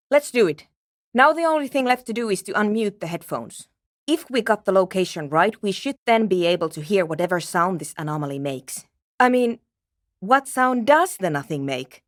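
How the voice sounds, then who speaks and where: A woman's voice: mid-range voice, no room sound, clean studio sound, natural voice. An actress playing a weary, obsessive and prickly woman programmer in a video game, with a terse, precise way of talking. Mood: focused, pensive. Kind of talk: dramatic game dialogue.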